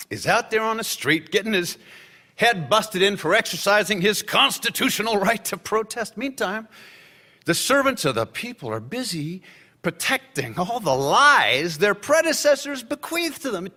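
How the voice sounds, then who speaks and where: A man playing a tipsy newspaper lawyer in a radio drama, rambling and wry. His voice sits fairly high and swoops widely, with a bright, forceful edge. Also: big pitch swings, unhurried, heavily acted. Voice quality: rambling, wry, slightly drunk delivery. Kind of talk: radio play acting.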